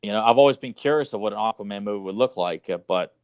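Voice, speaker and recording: A man's voice: fairly low pitch, fast talker, clear, resonant tone, no echo. A man co-hosting a casual movie podcast, talking in an exasperated, sarcastic way with loose, salty language. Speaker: podcast co-host.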